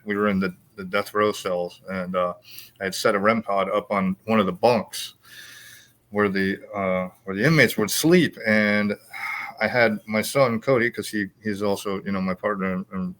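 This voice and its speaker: Middle-aged male podcast host, lower voice, rambling anecdotal delivery. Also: a bit bright. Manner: rambling, filler-heavy storytelling. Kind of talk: casual chat, storytelling.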